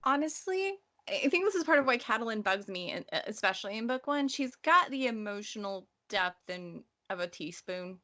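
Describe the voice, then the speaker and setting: Woman chatting about a book, really bright forward voice, opinionated and slangy. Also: on the high side, animated, normal speed, few pauses. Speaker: podcast co-host. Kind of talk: book discussion, casual chat.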